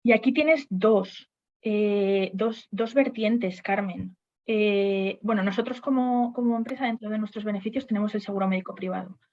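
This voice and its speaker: A woman's voice: medium pitch, moderate pace, dull audio. A woman on a webinar panel discussing HR topics, speaking hesitantly with frequent restarts and fillers.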